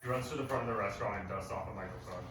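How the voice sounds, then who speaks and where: A man reads scripted stage directions at a live table read. His voice is fairly low, heard from a distance with heavy echo and clear background noise. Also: racing. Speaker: narrator reading stage directions. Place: live performance venue. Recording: very echoey, distant, noisy live recording.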